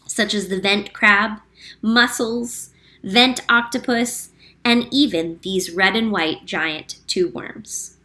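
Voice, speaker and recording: A woman reading a picture book aloud to children as a teacher, clear and explanatory, slowing down on key facts. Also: mid-range voice, pushed and bright. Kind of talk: read-aloud storytime narration.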